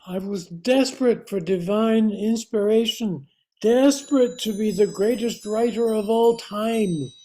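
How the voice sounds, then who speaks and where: A woman playing a haggard, desperate male writer in a radio drama, halting and pleading. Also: mid-range voice, warm tone, natural voice, poor audio. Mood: haunted confession.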